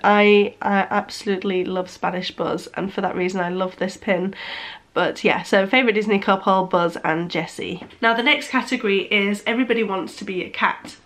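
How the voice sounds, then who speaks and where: A woman's voice: medium pitch, fairly even intonation, normal speed, a bit bright. Young woman, nasal with a cold, casual vlog chat, hesitant restarts. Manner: chatty, hesitant with frequent restarts. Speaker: vlogger.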